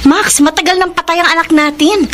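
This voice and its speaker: Very high-voiced bitter ex-girlfriend. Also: steady tone, a little fast, background noise. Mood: bitter, hurt. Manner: sharp-tongued, sarcastic, emotional. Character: bitter, wounded, defiant ex-girlfriend. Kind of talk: Filipino radio drama acting. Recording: music behind the voice. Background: dramatic music.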